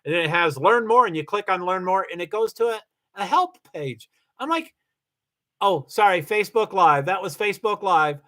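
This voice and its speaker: A man's voice: sits high, animated, normal speed, fairly bright tone. A man from Kentucky hosting a casual livestream, chatting loosely with viewers, rambling and often stopping to correct himself. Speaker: livestream host. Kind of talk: casual livestream chat, reading viewer comments.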